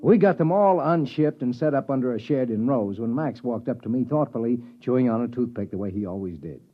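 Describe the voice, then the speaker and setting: A man's voice: medium pitch, animated, fairly quick, lightly acted. An actor playing a wry space-expedition crewman who narrates a 1950s sci-fi radio drama with dramatic storytelling delivery. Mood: matter-of-fact. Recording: muffled old radio recording with music.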